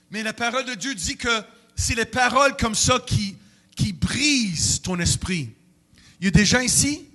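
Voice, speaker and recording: A middle-aged man, preaching a sermon in a church with emotional, animated delivery and sudden outbursts. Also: high-pitched. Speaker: pastor.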